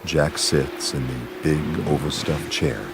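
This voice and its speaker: An actor as the measured, atmospheric storyteller of a scripted radio drama. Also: male voice, extremely low pitch, fairly even intonation, music in the background. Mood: slow, atmospheric. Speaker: narrator.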